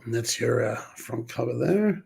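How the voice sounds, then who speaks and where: A man, hoarse and under the weather, clearing his throat often as he reads film box covers aloud in a slow, relaxed unboxing. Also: medium pitch. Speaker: collector reviewing a film set.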